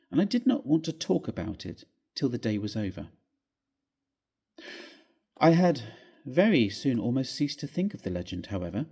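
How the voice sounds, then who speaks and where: A man gives a measured, theatrical reading of a classic ghost story, with expressive phrasing. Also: mid-range voice, slightly rough. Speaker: story reader. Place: inside a church, live reading.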